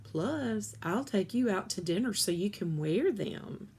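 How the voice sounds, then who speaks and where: A woman's voice: mid-range voice, expressive, measured pace, darkish tone, slightly rough. Woman reading as coaxing storybook dad. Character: bargaining, reluctant father in a children's story.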